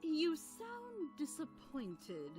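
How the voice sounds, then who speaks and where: A woman's voice: high-pitched, wildly swooping pitch, drawn-out words, music in the background, exaggerated voice. A woman voicing a mischievous, flamboyant cartoon trickster creature with a teasing, theatrical drawl.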